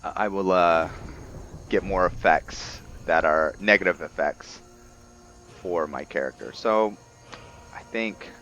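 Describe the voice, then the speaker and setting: Deep-voiced, slow-paced man. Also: fairly bright tone, muffled recording, soft background music. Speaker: gamer narrating roleplay playthrough. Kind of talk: casual game commentary.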